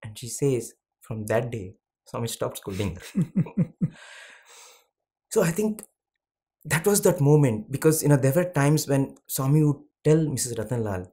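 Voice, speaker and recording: A man's voice: medium pitch, animated. Reflective man in devotional talk. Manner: reflective, with slow emphatic phrases and soft asides. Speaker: satsang speaker. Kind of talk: devotional storytelling conversation.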